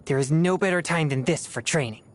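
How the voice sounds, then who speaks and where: A woman playing a solemn, disciplined teenage boy exorcist in a video game, with formal, measured speech. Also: low voice, natural voice. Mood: solemn, composed. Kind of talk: scripted video game character voice lines.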